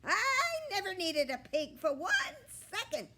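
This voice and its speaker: A woman voicing a brash, sharp-tongued storybook bird, squawky and loud, with a snappy, singsong delivery. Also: very high voice, big pitch swings, measured pace, a little hiss or hum, pitched above the performer's own voice, heavily acted. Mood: indignant. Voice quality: squawky, cackling.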